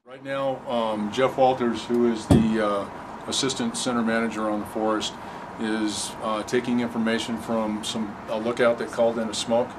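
A man in his fifties giving an informal, matter-of-fact on-camera explanation of his workplace as a fire staff officer, with halting delivery. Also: lowish voice, flat delivery. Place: busy open dispatch office, indoors. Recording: clear background noise, air-conditioning hum.

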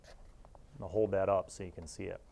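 Male host, forties, slightly deep voice. Casual, opinionated, conversational delivery, plain-spoken and blunt. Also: steady tone, brisk, creaky, mellow, a little hiss or hum. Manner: conversational, blunt, often ends phrases with "right?". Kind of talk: casual opinionated review monologue. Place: small dark indoor studio. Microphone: lapel mic.

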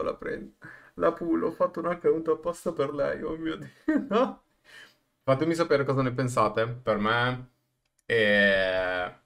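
A man giving casual reaction commentary, halting and repeating words for emphasis. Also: mid-range voice, gravelly, a bit bright. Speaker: streamer reacting to a game trailer. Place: small room indoors.

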